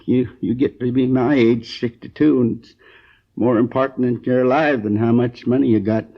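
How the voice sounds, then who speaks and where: A man acting a gravelly, groggy old American storekeeper. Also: mid-range voice, fairly even intonation, natural voice. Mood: earnest, weary. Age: 60s. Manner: halting, rambling, folksy. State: groggy, in pain. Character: injured, drowsy elderly male storekeeper, rambling and earnest. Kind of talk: 1950s radio crime drama acting. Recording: dull old 1950s radio recording.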